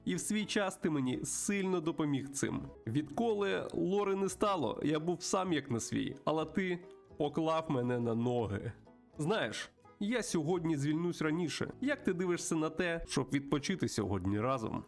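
A man's voice: a little high, lively intonation, moderate pace, gravelly, faint music behind, pitched above the performer's own voice. A man voicing a friendly, sentimental old falcon sheriff in a game. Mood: warm, sentimental.

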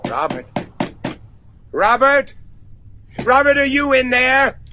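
An actor playing an older, devout judge and anguished father in a gothic horror radio drama, speaking gravely and haltingly with theatrical weight. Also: very high-pitched, sing-song, noisy background, full character voice. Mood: desperate, pleading. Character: anguished, devout judge and father seeking his lost son.

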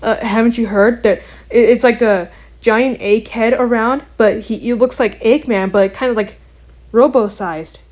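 Woman acting a young female bat heroine, slightly high voice, wary, earnest, halting, amateur cartoon. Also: steady tone, darkish tone, close to an everyday voice. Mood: hesitant, earnest. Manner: hesitant, halting, casual.

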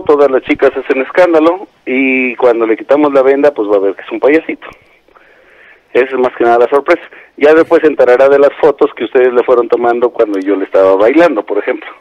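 A man's voice: mid-range voice, brisk, a little mellow, no room sound, lo-fi sound. A middle-aged man with a Mexican accent, speaking politely and in a businesslike way as he takes a booking call. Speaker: party clown taking a booking call. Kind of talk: phone conversation.

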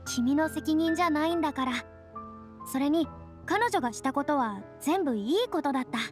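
An actress playing an expressive, proud, boyish young woman in a video game, with slightly grand phrasing. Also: high-pitched, moderate pace, over music. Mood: earnest, explaining. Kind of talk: Japanese game voice acting.

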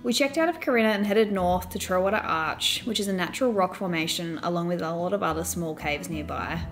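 A woman's voice: lowish voice, fairly quick. Young Australian woman narrating over music. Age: young adult. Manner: even, read narration. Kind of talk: scripted travel voice-over.

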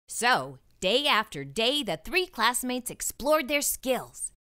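A woman reading a children's storybook aloud as an expressive narrator. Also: fairly high pitch, slow pace, smooth.

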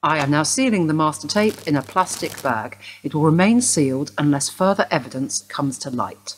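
Radio play role: new policewoman, brisk and polite, low voice, noisy recording played by an actress. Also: lively intonation. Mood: formal, polite. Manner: polite, official. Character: brisk, procedural younger female police detective, new to job. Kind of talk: naturalistic radio drama acting.

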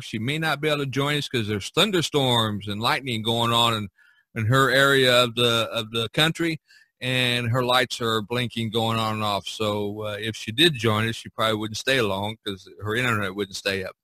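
A man's voice: mid-range voice, steady tone, moderate pace, sharp tone, no echo. An American man talking casually as the host of a podcast.

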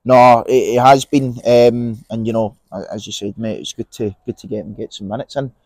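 Young Scottish man, podcast co-host, mid pitch, casual football chat, lapel mic, dry. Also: a little fast, dry recording. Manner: relaxed, opinionated, many fillers and restarts. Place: outdoors in an empty stadium stand.

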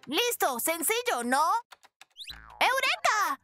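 Cartoon bear cub: tiny high voice, boastful little inventor, bouncy and animated, for a kids' dub. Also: slow pace, soft background music, full character voice. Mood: excited. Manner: exuberant, cocky, exclamatory. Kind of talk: dubbed children's cartoon acting.

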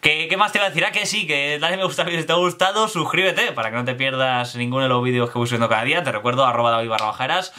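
Fast-talking young man, bright voice. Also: medium pitch. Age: young adult. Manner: fast, energetic and chatty, addressing viewers directly. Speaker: football news vlogger. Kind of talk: casual news commentary to camera. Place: bedroom with posters.

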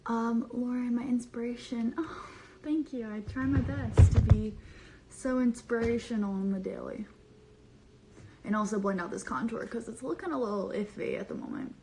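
Young woman on a phone livestream from her bedroom, kinda high voice, bubbly and rambly, lots of slang. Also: steady tone, moderate pace, noisy background. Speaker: social media creator on a live stream. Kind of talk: casual livestream chat reading comments. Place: bedroom, small indoor room. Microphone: phone camera at arm's length.

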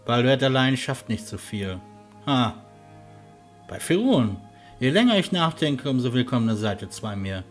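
A man's voice: medium pitch, very animated, normal speed, faint music behind, close to an everyday voice. A man playing a friendly, helpful and somewhat hesitant castle huntsman in a fantasy audio drama. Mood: friendly, curious.

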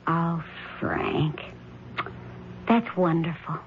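An actress playing a magistrate's caring, quietly stubborn wife in a 1970s radio drama, speaking gently but insistently. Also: female voice, sits low, drawn-out words, heavily acted. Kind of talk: 1970s radio drama acting, domestic dialogue. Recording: dull 1970s radio recording, faint noise.

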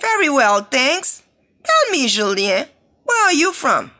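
Bright high-voiced woman reading dialogues. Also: big pitch swings, slow pace. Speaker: textbook audio reader. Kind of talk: scripted dialogue reading for learners.

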